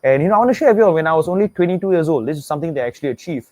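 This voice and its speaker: A man's voice: on the high side, lively intonation, very fast, a little mellow, no echo. A young Singaporean man hosting an online webinar, talking with energy, repeating himself and asking viewers to type replies. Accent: Singaporean English. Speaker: webinar host. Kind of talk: motivational webinar pitch.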